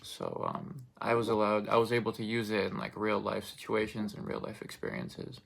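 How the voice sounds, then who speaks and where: A man's voice: on the low side, very animated, moderate pace, creaky, fairly bright tone. A young man whose first language is Russian, giving an informative speech in a loose, rambling way full of fillers and restarts. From Russian-speaking country. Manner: loose, filler-heavy delivery with many restarts.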